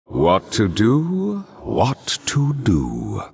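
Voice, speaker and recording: An actor playing a haughty, sadistic vampiric lord in a video game, with theatrical, pompous, aristocratic delivery. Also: male voice, on the low side, huge pitch swings, extremely slow, creaky, breathy edge, exaggerated voice. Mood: brooding, mocking. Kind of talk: video game character voice lines.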